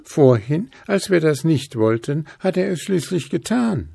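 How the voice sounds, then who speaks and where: A man's voice: a little high, sing-song, measured pace, creaky, warm tone, husky, no echo, pitched above the performer's own voice, full character voice. Hüpf, a man playing an eager little animal. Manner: eager, giggly.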